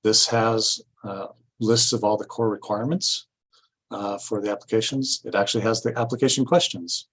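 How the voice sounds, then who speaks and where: A man giving an informational presentation at a public meeting, explaining things step by step in a halting way. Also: on the low side, fairly even intonation, fairly bright tone, slight background noise. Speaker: city staff presenter at a grant orientation.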